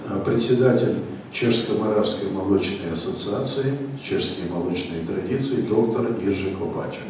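Male event host, deeper voice, pausing with fillers, heard from afar in a big hall with noise. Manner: measured, hesitant with fillers. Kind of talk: formal event moderation. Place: large conference hall. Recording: echoey hall from far off, background noise.

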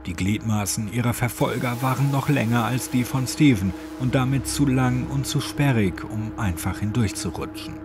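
A man's voice: mid-range voice, normal speed, few pauses. A man narrating a scripted horror story as an audiobook. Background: music.

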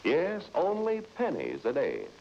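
Casting: male ad announcer, emphatic, measured, hugely varied intonation, old-time selling style. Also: a little high, huge pitch swings, darkish tone. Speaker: commercial announcer. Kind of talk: vintage advertising pitch. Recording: muffled, noisy old broadcast recording.

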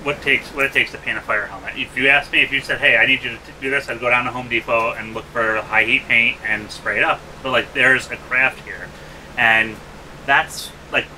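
A man's voice: mid-range voice, steady tone, a little fast, pushed and bright, slight background noise, low-quality recording. A man in casual conversation as an interviewer, chatting in a small workshop.

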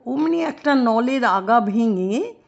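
A woman telling a children's story expressively, with drawn-out emphasis and rhetorical questions. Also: fairly high pitch, lively intonation, vocal fry, muffled recording.

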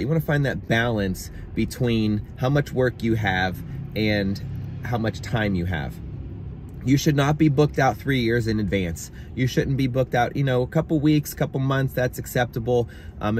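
A man's voice: on the low side, slight background noise. A man in his thirties, a handyman, giving emphatic, exasperated advice to contractors from his car, recorded on a phone. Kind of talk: casual advice vlog. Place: parked car. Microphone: phone on dashboard.

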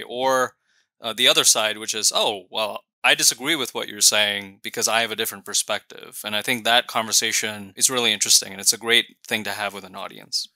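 A man's voice: lowish voice, sharp tone, no room sound. A man from Malaysia, a newsletter founder and analyst, answering interview questions in a talkative, articulate way with frequent fillers. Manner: articulate, many fillers like you know and sort of.

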